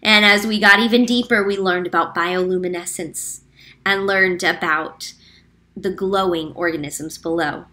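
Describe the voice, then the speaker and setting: A woman reading a picture book aloud to children as a teacher, clear and explanatory, slowing down on key facts. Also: fairly low pitch, fairly even intonation, sharp tone. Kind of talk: read-aloud storytime narration.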